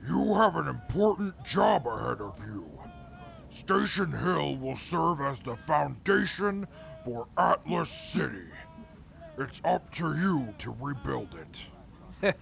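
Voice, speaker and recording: A gravelly, pompous tyrant. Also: male voice, on the high side, expressive, slow pace, a bit bright, exaggerated voice. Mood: commanding. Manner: pompous, indignant, disdainful. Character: arrogant, scheming would-be ruler scornful of weak humans. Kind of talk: post-apocalyptic audio drama acting.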